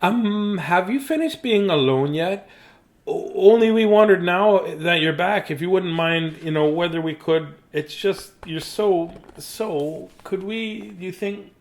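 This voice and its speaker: A man voicing a timid penguin sibling, halting and apologetic as it shyly asks in a storybook. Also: medium pitch, sing-song. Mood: bashful, pleading. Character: timid, hesitant penguin sibling asking for cuddles.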